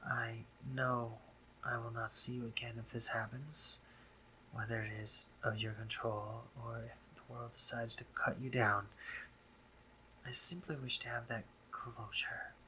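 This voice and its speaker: A man playing a brooding, sardonic drider monster, a guarded but caring doctor, in an intimate roleplay audio drama, speaking formally with halting pauses. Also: mid-range voice, level tone, bright, forceful tone, a little hiss or hum, poor audio. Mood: subdued, sorrowful.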